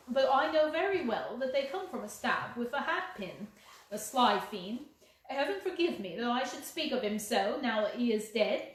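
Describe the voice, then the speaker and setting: A woman voicing a loyal, bitter lady's maid who talks indignantly and emphatically, fiercely protective of her mistress. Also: high voice. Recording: very echoey room.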